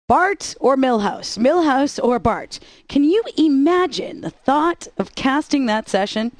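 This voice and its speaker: A woman's voice: a little high, no echo. A woman radio DJ with sarcastic, wisecracking scripted patter, recorded close on a studio mic.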